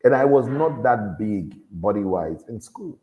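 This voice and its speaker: A man with a deep voice, giving an earnest motivational talk to young people and repeating his key lines for emphasis. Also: expressive, unhurried, muffled recording. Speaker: motivational speaker at a youth event.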